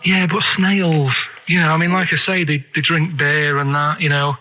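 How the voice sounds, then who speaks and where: A man's voice: fairly high pitch, level tone, normal speed, bright, forceful tone. A man with a Northern English accent rambling comically on the radio in an earnest, naive, persistent way. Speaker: radio show regular making a phone call.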